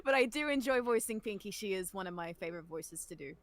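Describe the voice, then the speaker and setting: A woman's voice: mid-range voice, lively intonation, quick pace. A woman chatting casually on a panel as a voice actress and audio drama creator.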